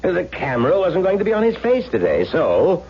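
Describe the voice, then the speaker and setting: An actor playing a pompous, self-important film director who speaks dramatically and repeats himself, in a 1970s radio drama. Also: male voice, high-pitched, wildly swooping pitch, normal speed, husky, lo-fi sound, full character voice. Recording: hissy, band-limited vintage radio recording.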